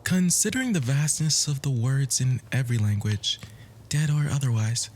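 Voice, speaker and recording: A man playing a charming, bookish nerd in an ASMR romance roleplay, flirting in formal, poetic phrases with a soft, breathy, often near-whispered voice. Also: medium pitch, lively intonation, normal speed, natural voice. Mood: earnest, romantic. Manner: formal, wordy, gently hesitant flirting.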